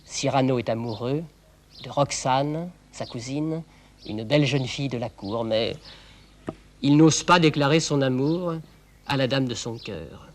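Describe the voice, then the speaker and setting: A man's voice: medium pitch, measured pace, a little hiss or hum, close to an everyday voice. A man playing a chatty narrator telling a story to children, playful, with rhetorical questions and repetitions. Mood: playful, confiding.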